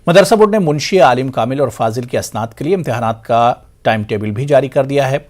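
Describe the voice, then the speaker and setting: A man reading scripted news as an anchor, in a formal and measured manner. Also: mid-range voice, lively intonation, darkish tone.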